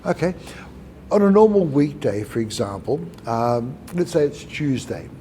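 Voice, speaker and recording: A middle-aged man hosting a conversational interview, speaking in a measured way, asking questions and summing up answers. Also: medium pitch, big pitch swings, warm tone, a little hiss or hum. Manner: measured, repeats and sums up answers. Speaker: podcast host.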